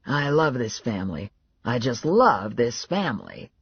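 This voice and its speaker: A woman's voice: big pitch swings, measured pace, muffled recording, a little hiss or hum, heavily acted. A woman voicing a laid-back, wry, self-assured man with a dry, casual manner in an audiobook. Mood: amused. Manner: dry, casual, low-key.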